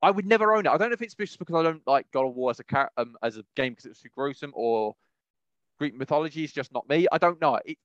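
A man's voice: a little high, lively intonation, quick pace, a bit bright, no room sound. Man, podcast co-host, casual, enthusiastic rambling, close dry room, lo-fi dullness. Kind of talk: casual chat.

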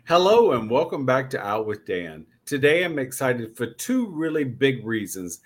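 A man's voice: mid-range voice, big pitch swings, moderate pace. A man hosting a casual interview podcast, talking in a campy, familiar way with emphatic repeats. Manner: campy, uses "honey", repeats himself for emphasis. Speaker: podcast host.

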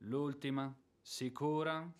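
An actor playing a blustering, hot-tempered landowner and former officer in a radio drama, emphatic, sarcastic and grumbling. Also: male voice, mid-range voice, fairly even intonation, takes it slowly, clear, resonant tone, natural voice. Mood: tense, insistent. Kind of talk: theatrical radio drama acting.

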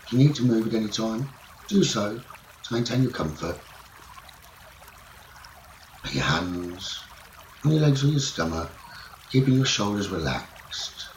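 A man leading a guided sleep meditation as a teacher, speaking in soothing, measured short phrases. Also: lowish voice, sing-song. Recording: roomy echo, running water noise behind. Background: trickling stream water nature sounds.

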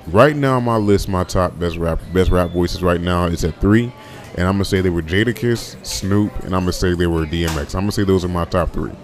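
A young man with a very deep, low voice hosts a casual podcast on his own. He rambles and restarts his sentences often, thinking out loud. Faint music plays softly behind him. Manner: rambling, full of restarts and fillers. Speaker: podcast host. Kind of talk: casual solo podcast talk.

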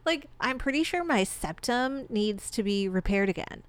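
A woman's voice: fairly high pitch, expressive, moderate pace, no echo. A young woman from Michigan co-hosting a casual podcast, sounding congested and telling rambling stories. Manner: chatty, rambling storyteller. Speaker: podcast co-host. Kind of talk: casual chat.

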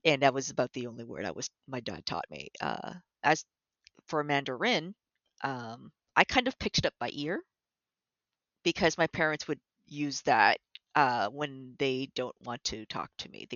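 Woman guest chatting, pitch jumps all over, kinda muffled dry audio, trips over her words a lot. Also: moderate pace, dry recording. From Canada. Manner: animated, self-interrupting with restarts and laughs. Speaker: concept artist, guest. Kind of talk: conversational interview.

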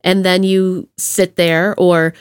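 A woman hosting a podcast in a casual chat, with a playful, slangy and expressive way of talking. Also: fairly low pitch, slow, clear, resonant tone, dry recording, clean studio sound. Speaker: podcast host.

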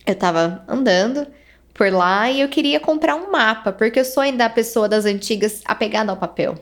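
A woman hosting a casual podcast interview, relaxed and asking follow-up questions. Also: a little high, moderate pace.

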